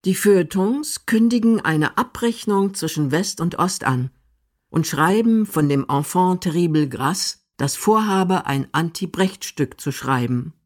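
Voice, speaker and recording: A woman's voice: on the low side, animated, a little slow. A woman reading scripted narration for a radio documentary drama.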